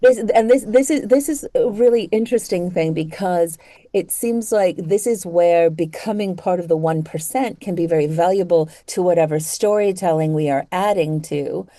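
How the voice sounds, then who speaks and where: A woman's voice: lowish voice, moderate pace, warm tone, dry recording. A woman hosting a conversational interview, thoughtfully building her questions in short, repeated phrases. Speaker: interview host.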